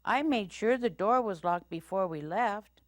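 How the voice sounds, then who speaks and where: A woman's voice: animated, a little slow, no room sound, clean studio sound, natural voice. A woman voicing a whiny, protesting little boy bear with a babyish voice in a children's story. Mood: earnest. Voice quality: high babyish voice. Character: whiny young male baby bear, eager to please.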